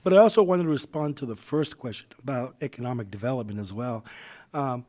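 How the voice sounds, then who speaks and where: Young male vice mayor, hoarse from flu, mellow low-key voice, loose public remarks full of fillers. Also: fast talker. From United States. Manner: loose, conversational, many "you know" fillers. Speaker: city council vice mayor.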